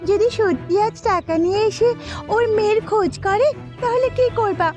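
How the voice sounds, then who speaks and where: A woman's voice: very high voice, moderate pace. A woman playing a bandit's questioning, complicit wife in a Bengali cartoon. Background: background music.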